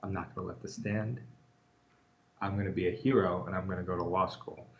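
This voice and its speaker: A man's voice: low voice, level tone, fairly quick. A man co-hosting a casual podcast about lawyering, telling stories in a joking way and laughing often. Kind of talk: casual chat.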